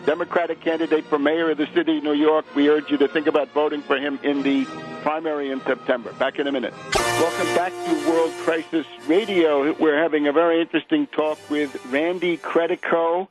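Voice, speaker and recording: A man hosting a political radio interview, speaking in a measured, explanatory way with deliberate phrasing. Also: on the high side, level tone, soft background music. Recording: dull lo-fi radio audio.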